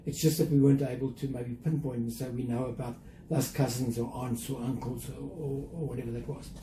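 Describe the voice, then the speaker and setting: An older man with a South African accent, talking reflectively and carefully as an interview guest, with measured, hesitant phrasing. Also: mid-range voice, steady tone. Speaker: interview guest, museum chief executive. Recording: roomy echo, faint background noise.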